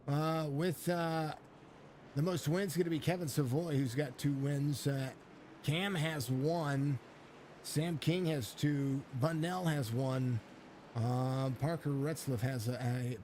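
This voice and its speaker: A man's voice: medium pitch, takes it slowly, a little mellow, a little hiss or hum. A man with laryngitis commenting live on a sim racing stream, rambling through the grid and chatting with viewers, with lots of fillers and restarts. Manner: rambling, filler-heavy play-by-play, greets chat viewers. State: hoarse from laryngitis. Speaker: race commentator and stream host.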